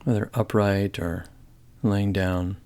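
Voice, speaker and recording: A man leading a guided body scan meditation in a calm, gentle, measured way, leaving long pauses. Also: deep voice, clear, resonant tone.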